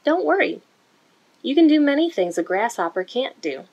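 A woman reading a children's picture book aloud in an expressive, deliberate way for young listeners, recorded indoors. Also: high-pitched, muffled recording. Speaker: children's story-time reader for a museum. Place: indoor room.

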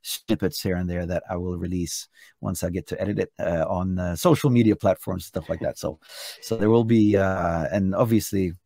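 A hoarse middle-aged Norwegian man talks about his music over a video call, his low voice rough and gravelly. He rambles eagerly, restarting phrases as he goes. The sound is dry and close. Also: steady tone, normal speed, dark tone, dry recording. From Norway. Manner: chatty, enthusiastic, frequent restarts. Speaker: singer and contest entrant. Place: hotel room indoors.

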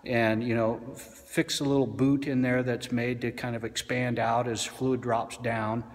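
Mechanic man, forties, casual shop tutorial, lots of "uh"s, phone-mic sound, echoing garage. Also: medium pitch. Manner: plain-spoken, frequent "uh" fillers. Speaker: auto mechanic. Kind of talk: casual how-to instruction. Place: car repair shop garage. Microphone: handheld phone camera at arm's length. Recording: reverberant shop room.

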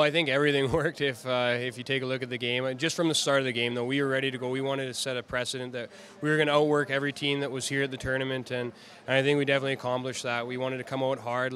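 Fast, flat-voiced young man. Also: medium pitch. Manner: fast, even, with many restarts. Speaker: hockey player. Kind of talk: post-game interview. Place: arena media backdrop, indoors. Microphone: handheld reporters' mics, close.

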